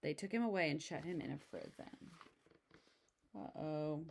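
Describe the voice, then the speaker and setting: A woman reading a children's picture book aloud in an expressive way, with casual asides. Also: deep voice, unhurried, gravelly, noisy background. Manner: expressive, exclaiming story delivery. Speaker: storybook reader.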